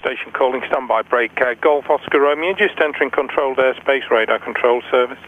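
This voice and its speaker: A man's voice: medium pitch, a little fast. A man working as an air traffic controller, giving instructions over aircraft radio. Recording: crackly narrow radio with clear noise.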